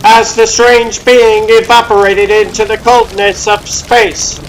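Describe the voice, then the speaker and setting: A man's voice: high voice, level tone, slow pace, slight background noise, low-quality recording. A man playing a solemn storyteller, giving scripted dramatic narration for a superhero comic. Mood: solemn, wondering.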